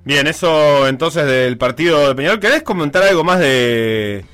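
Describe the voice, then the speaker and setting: A male host, casual and teasing as he steers a football chat. Music plays clearly behind his voice. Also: fairly high pitch, expressive, moderate pace, a bit bright. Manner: teasing, leads the conversation. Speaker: sports show host.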